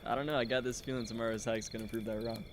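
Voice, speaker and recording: An actor playing an eager high school boy investigator in a naturalistic audio drama, talking in a casual, rambling, earnest way. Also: level tone, racing, lightly acted. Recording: outdoor night noise, crickets. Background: crickets and insects.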